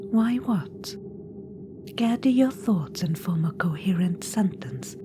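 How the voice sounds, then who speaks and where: A woman's voice: fairly low pitch, animated, slow pace. A woman voicing an imperious undead witch-queen spirit in an ASMR audio roleplay. She speaks in a whisper, teasing, condescending and commanding. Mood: coaxing whisper. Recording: clear background noise.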